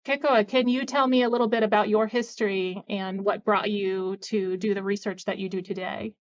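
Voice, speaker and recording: A woman's voice: medium pitch, fairly even intonation, moderate pace. A woman hosts a podcast interview and asks encouraging questions in a mid-range voice. Her audio sounds slightly dull. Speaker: podcast guest host. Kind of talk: interview host.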